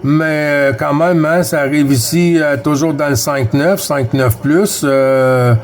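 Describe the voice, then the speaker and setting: A man's voice: on the high side, slow pace. A man with a Quebec French accent chatting casually as a CB radio operator, friendly and courteous, full of radio jargon. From Quebec, Canada. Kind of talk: casual radio contact chat.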